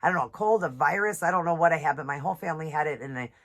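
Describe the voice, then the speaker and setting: A woman with a stuffed-up voice from a cold, chatting casually and reading devotional passages slowly. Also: low voice. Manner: chatty, reads scripture slowly, apologizes often. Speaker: nail and devotional vlogger. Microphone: ring light setup, camera close.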